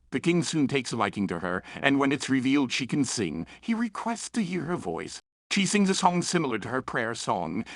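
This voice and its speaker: A man presenting a review in a lively, swinging voice with some fry in it. He is chatty and opinionated, slipping playful asides into his scripted narration, and the sound is dry and close. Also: medium pitch, no room sound. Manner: chatty, self-aware, opinionated. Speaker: host and reviewer of fan fiction and audio dramas.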